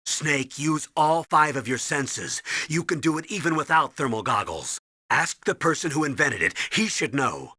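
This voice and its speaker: An actor playing a stern, urgent military colonel who gives mission orders in a commanding, clipped way in a video game. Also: male voice, mid-range voice, bright, forceful tone, slightly rough, dry recording. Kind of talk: dramatic video game radio briefing.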